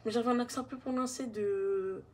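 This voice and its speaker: A young woman from Haiti with a Guadeloupean accent, giving a halting personal testimony in casual speech. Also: on the high side, steady tone, slight background noise. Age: young adult.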